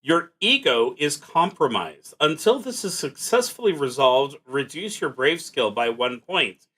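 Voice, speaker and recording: A man narrating a solo tabletop game, reading cards aloud and thinking out loud in a casual, wry way. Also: on the high side, expressive, unhurried, slightly rough. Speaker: solo roleplaying game streamer.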